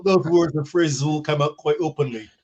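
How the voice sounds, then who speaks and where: Middle-aged guy on a video call, fairly high voice, halting, repeats himself. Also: a little mellow, highs cut off. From Barbados. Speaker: diaspora guest living in England. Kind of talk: conversational interview answers. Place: small office, on a video call. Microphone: video call, device in front.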